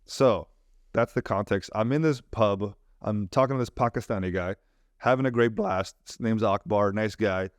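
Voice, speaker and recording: A young American man from California, a podcast co-host, telling a story in casual chat, lively and laughing often. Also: fairly low pitch, moderate pace, dry recording, clean studio sound. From Oakland, California. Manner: animated, laughs often, acts out quoted people.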